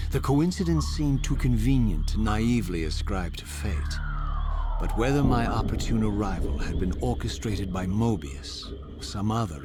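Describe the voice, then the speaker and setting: An actor playing a vengeful undead vampire wraith in a video game, narrating in a grave, formal, theatrical way with ornate diction. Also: male voice, on the low side. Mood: wary. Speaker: narrating protagonist. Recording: music under the voice. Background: loud music.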